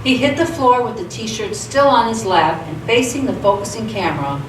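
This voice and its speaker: A woman's voice: medium pitch, expressive, moderate pace. Echoey female radio theatre narrator. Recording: echoey theatre, distant mic, clear background noise.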